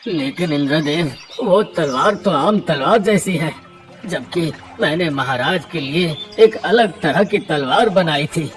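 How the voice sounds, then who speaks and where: A man acts out a humble village blacksmith from a cartoon moral tale. He is earnest, anxious and exclamatory, speaking slowly with loud music behind him. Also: a little high. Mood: anxious, surprised.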